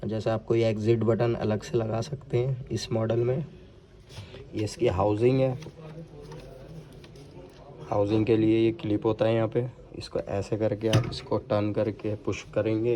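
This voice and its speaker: A man's voice: fairly low pitch, noisy background. A man giving an unscripted, step-by-step hands-on installation demo, mixing in English technical terms. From India. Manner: step-by-step explaining with English technical words mixed in. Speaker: product installation demonstrator.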